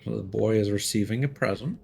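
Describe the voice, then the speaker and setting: A man's voice: fairly low pitch, a little fast, gravelly, darkish tone, a little hiss or hum. A man giving casual, dry live commentary while streaming a video game.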